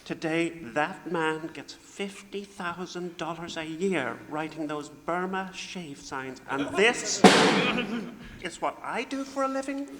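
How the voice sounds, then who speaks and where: Self-pitying, formally indignant 1940s radio comedy sound man, played by a man, slightly high voice, roomy stage recording. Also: animated, slow. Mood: bitter, self-pitying. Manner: formal, indignant, mournful oratory. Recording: echoey hall with faint noise.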